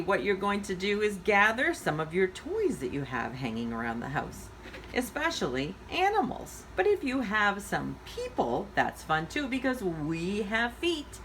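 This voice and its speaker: A woman hosting a story time for young children, giving simple instructions and asking encouraging questions in a deliberate, sing-song way. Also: mid-range voice, a bit bright, a little hiss or hum.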